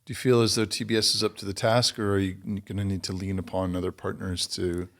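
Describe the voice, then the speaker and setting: A man's voice: low voice, little pitch movement, quick pace, fairly bright tone. A man hosting an interview, asking long questions while searching for words. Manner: hesitant, searching for words with ums. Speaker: podcast host.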